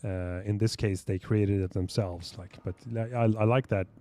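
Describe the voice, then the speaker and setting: A man's voice: lowish voice, fairly even intonation, normal speed, warm tone. A young man chatting on a livestream as host, rambling and reading out viewer questions. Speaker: stream host. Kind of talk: casual livestream chat.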